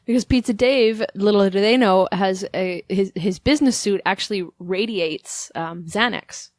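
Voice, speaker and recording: Quick rambling woman podcast host. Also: medium pitch. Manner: quick, rambling, laughs often. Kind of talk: improvised comedy podcast chat.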